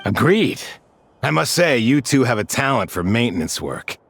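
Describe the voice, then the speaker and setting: A man playing a composed, courteous male companion in a video game dub, speaking in a measured, polite way. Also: medium pitch, very animated, vocal fry, a little hiss or hum. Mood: calm, approving.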